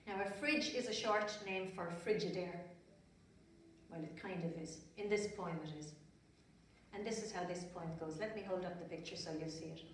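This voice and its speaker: A woman's voice: lowish voice, moderate pace. An Irish-accented woman telling stories to young children in simple, repetitive phrases with chatty asides. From Ireland. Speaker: children's storyteller. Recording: very echoey room, distant mic, faint noise.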